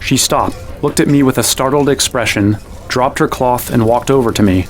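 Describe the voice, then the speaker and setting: An actor playing a wry, kindly young man in a fantasy audio drama, narrating and speaking with gentle, self-deprecating earnestness. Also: medium pitch, normal speed, soft background music, natural voice. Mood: measured. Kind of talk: acted first-person narration and dialogue.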